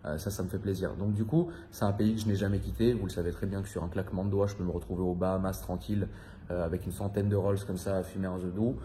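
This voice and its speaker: Fast-talking deep-voiced man in his thirties. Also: level tone, mellow, a little hiss or hum. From France. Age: 30s. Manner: rambling, loose and confiding, many fillers and repeats; slangy, swears casually. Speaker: vlogger. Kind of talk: casual monologue to camera.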